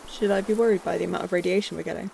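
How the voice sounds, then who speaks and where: An actress as a wry young woman new to space, speaking fast in a low-leaning, flat voice. Her delivery is dry and casual, as in a science-fiction audio drama. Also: vocal fry, slight background noise. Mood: wry, uncertain.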